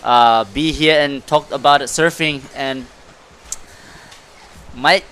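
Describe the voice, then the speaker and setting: A man giving casual, halting, appreciative sports commentary as a guest beside the main host. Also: mid-range voice, fairly bright tone, smooth.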